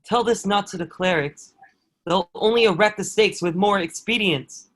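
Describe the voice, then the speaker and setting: A man acts out a medieval soldier with a notably high male voice. He is a proud, dutiful lackey who is quietly awed by his prisoner. His phrasing is earnest and formal, as in a historical stage play. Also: normal speed. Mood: earnest, troubled. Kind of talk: table-read stage acting of historical drama.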